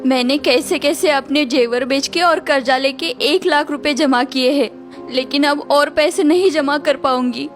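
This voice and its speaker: Casting: woman as sobbing, desperate housemaid mother for a kids' cartoon; high voice over faint music. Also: a little slow. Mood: tearful despair. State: tearful, sobbing. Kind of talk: children's cartoon story voice acting.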